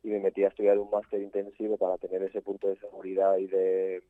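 A man's voice: deep voice, completely flat delivery, quick pace, no room sound. A young man from Spain, a photographer guest, chatting casually with many fillers and restarts. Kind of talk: casual interview chat. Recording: tinny phone-like line.

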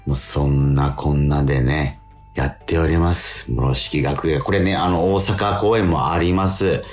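A man co-hosting a casual radio show, telling anecdotes and making announcements in a halting way with lots of self-interjections. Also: a bass voice, soft background music.

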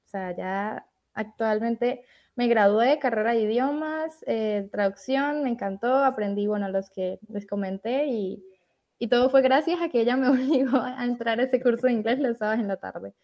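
A woman's voice: on the high side, normal speed. A young woman from Latin America, a translator and podcast guest, telling stories in a chatty way and laughing often. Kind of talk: casual podcast interview. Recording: dull lo-fi audio.

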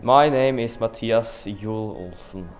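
A man's voice: fairly low pitch, slow pace, muffled recording, soft background music. A teenage boy as a podcast guest, giving laid-back, rambling joke answers full of fillers. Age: teens. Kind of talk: joking student podcast interview.

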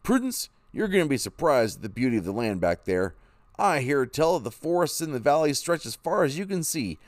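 A man playing a determined pioneer father, eager and firm. The reader's voice rises above his own pitch and bounds through lively intonation. The sound is dry and close. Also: brisk, no room sound. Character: determined young pioneer father, set on heading west. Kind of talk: storybook reading.

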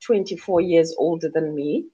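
A woman's voice: low-pitched, normal speed, mellow, slightly rough, lo-fi sound. A Zimbabwean woman, a musician, answering interview questions thoughtfully with many fillers and restarts. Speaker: musician guest.

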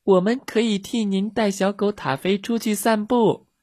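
A storyteller voicing an anxious, excitable little girl in a children's story. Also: medium pitch, moderate pace, no room sound, studio quality, voice pushed up, lightly acted. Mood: eager. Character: two anxious, excitable little girls out dog-walking.

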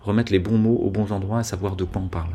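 Husky, earnest French-speaking man. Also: on the low side, fairly even intonation, fast, dark tone. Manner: earnest, argumentative, many hesitations. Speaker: guest. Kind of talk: conversational interview.

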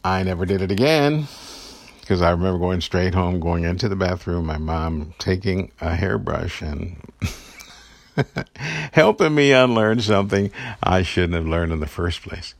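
A man's voice: low voice, animated, measured pace, gravelly. A man hosting an inspirational podcast, speaking earnestly and encouragingly and telling personal stories with the odd chuckle. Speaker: podcast host giving daily encouragement. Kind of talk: inspirational devotional talk.

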